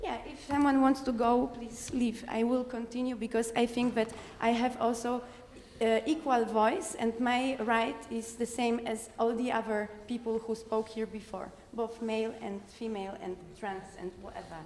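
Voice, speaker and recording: A woman from the audience, speaking English as a philosopher at a conference, direct and insistent, often pausing with fillers. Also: on the high side, steady tone, a little mellow, in a large hall, slight background noise. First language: Polish. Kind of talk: conference floor remarks. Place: conference hall.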